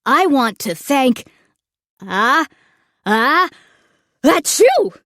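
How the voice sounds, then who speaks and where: A sniffly young storybook fellow, acted out by a woman reading aloud. He is high-voiced and exclaims with big swings in pitch, easily dismayed and prone to sneezing. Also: very animated, drawn-out words, fairly bright tone, no echo, studio quality, heavily acted. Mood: grateful, then alarmed, sneezy. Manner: exclaiming, wailing, with bursts of sneezing.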